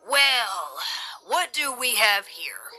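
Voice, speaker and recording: A woman's voice: a little high, big pitch swings, drawn-out words, bright, forceful tone, soft background music, voice pushed up, heavily acted, rough recording. A woman voicing a smirking, condescending male police officer with a derisive, mocking delivery, in an audio story. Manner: derisive, sneering.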